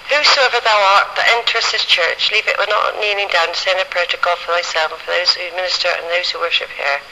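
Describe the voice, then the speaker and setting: A man making informal spoken field notes on an amateur cassette recorder, haltingly reading inscriptions aloud. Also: high voice, steady tone, pushed and bright, slight background noise, low-quality recording. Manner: halting, piecing words together as he reads. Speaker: amateur church and gravestone researcher. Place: in and around country churches and churchyards. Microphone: handheld cassette recorder.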